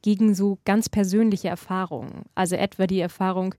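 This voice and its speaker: A woman's voice: mid-range voice, fairly even intonation, a little fast, warm tone, no room sound, studio quality. A woman interviewer putting careful, articulate questions in a cultural radio interview.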